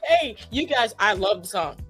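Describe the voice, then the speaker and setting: A woman's voice: medium pitch, animated, normal speed, a bit bright, slightly rough, faint music behind. A woman hosting an interview show, asking her guests questions with lots of enthusiasm. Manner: enthusiastic, gushing.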